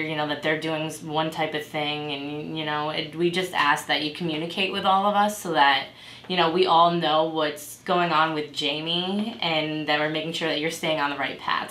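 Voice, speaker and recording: A woman playing a gentle, supportive patient navigator in a role-play, explaining in a conversational way. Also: sits low. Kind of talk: amateur role-play dramatization. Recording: echoey room with background noise.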